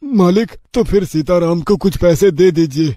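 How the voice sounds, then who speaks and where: Man playing a sly, mellow, higher-voiced village schemer. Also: normal speed, no room sound, studio quality. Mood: obsequious. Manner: coaxing, falsely consoling. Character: sly flattering male villager, landlord's servant feigning sympathy. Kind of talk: Hindi cartoon moral story dialogue.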